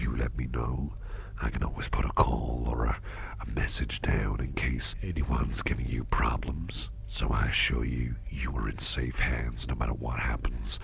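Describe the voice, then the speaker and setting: Man acting as a very deep, gravelly, kindly boss. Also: slight background noise, exaggerated voice. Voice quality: very deep, gravelly, breathy half-whisper. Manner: gentle, doting, unhurried, repeats reassurances. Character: kindly, reassuring businessman boss looking after a valued employee. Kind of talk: soft-spoken ASMR roleplay.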